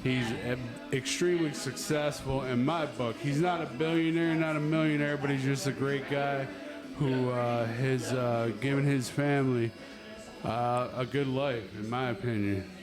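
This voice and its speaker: A man's voice: mid-range voice, fairly even intonation, unhurried. Man, podcast host, rambling, filler-heavy, interrupts, busy venue, music in background. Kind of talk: casual chat. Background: music and chatter.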